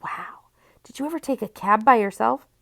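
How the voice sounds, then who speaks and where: A timid, easily impressed preteen girl, voiced by a woman reading a storybook. She sounds anxious and wide-eyed, full of questions, with a creaky edge to the voice. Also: on the high side, moderate pace, a bit bright. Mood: awed, curious. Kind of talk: children's storybook character reading.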